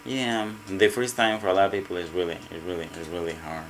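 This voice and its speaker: Man, Mexican Spanish accent, Spanish native speaker, very deep voice, halting, repeats words, faint hiss. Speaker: restaurant cook. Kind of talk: casual interview.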